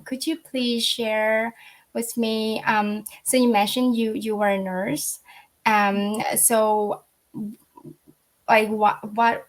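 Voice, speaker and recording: A young adult woman from Vietnam hosting a casual business interview, speaking politely with many pauses and fillers. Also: medium pitch, poor audio. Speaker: host.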